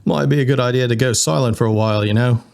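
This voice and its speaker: A man's voice: mid-range voice, fast talker, vocal fry, darkish tone, close to an everyday voice. Man as a friendly Australian ship's computer. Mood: friendly, hesitant. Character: friendly, polite simulated male ship's computer.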